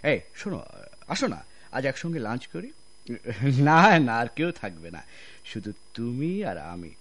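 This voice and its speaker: An actor playing a smug, sly general manager in a radio drama, hemming and talking with oily sarcasm. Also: male voice, mid-range voice, very animated, unhurried, fairly bright tone, heavily acted. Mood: flirtatious.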